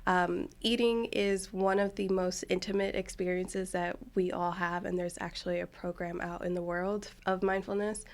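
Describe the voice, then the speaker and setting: A woman's voice: fairly low pitch, level tone, normal speed, few pauses. Enthusiastic woman podcast host. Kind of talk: casual podcast interview.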